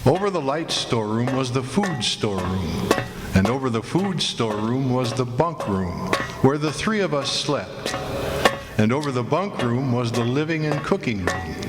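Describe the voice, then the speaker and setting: An actor playing a haunted lighthouse keeper, telling his tale in deliberate, brooding radio theatre narration. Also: mid-range voice, expressive, over loud music, natural voice. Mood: wistful, descriptive. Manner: deliberate, brooding, savoring vivid descriptions. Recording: roomy hall, clear background noise.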